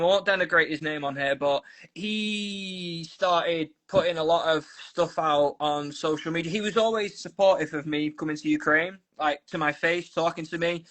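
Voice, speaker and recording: A man with a Manchester accent talks loosely over a video call. He rambles, doubles back on his words and wanders into asides. His voice sits a little high for a man. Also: fairly bright tone. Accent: Manchester English. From South Manchester, England. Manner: rambling, with frequent restarts and asides. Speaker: volunteer guest. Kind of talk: casual interview chat. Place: Ukraine.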